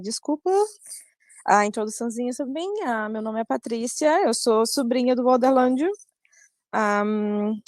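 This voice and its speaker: A young woman from Brazil with a Brazilian Portuguese accent, her voice sitting a little high. She speaks casually over a video call, pausing often and correcting herself as she goes. The sound is dry and close, with no room echo. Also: darkish tone. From Brazil, Maranhão. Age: young adult. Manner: hesitant, many fillers and self-corrections. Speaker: guest speaker giving advice to students.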